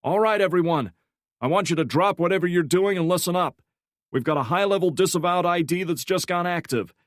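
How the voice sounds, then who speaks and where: A tense agency section leader, as a male narrator voices him, barking clipped orders at a raised, forceful pitch. It is close-miked and dry, with nothing behind the voice. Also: brisk, dry recording, close to an everyday voice. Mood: commanding. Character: commanding middle-aged male section leader, urgent.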